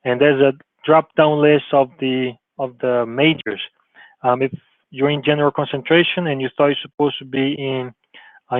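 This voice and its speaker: A man's voice: mid-range voice, dry recording. A male college advisor giving an informal screen-share tutorial, talking loosely with restarts and frequent "okay?" check-ins. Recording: tinny phone-like narrow sound, dry.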